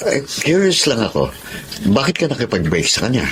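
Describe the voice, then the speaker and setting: A man's voice: mid-range voice, huge pitch swings, a little fast, a bit bright, slight background noise. A man with a Filipino accent playing a cocky, lustful married womanizer in a romantic radio drama. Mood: seductive, teasing. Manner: teasing, suggestive, boastful. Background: background music.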